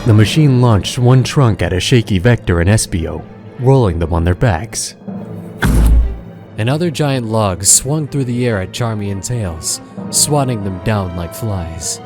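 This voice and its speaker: A man narrating a scripted story in a measured, suspenseful, dramatic style. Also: lowish voice, animated. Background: loud music.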